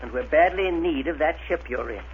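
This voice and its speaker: An actor playing a brisk, authoritative scientist giving orders in a 1930s radio serial. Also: male voice, fairly high pitch, animated, dull audio, close to an everyday voice. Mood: firm, urgent. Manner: crisp, authoritative. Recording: faintly noisy 1930s radio broadcast.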